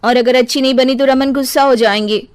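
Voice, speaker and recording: A woman voicing a chirpy, fitness-mad young bride in a storybook, fussy and a little ditzy. Her voice sits high and bright above the narration. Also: fairly even intonation, brisk, clean tone, no echo, natural voice.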